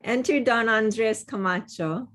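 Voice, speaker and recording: A woman's voice: on the high side, slow, fairly bright tone. A woman reading stage directions as scripted narration at a table read over a video call. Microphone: home microphone on a video call.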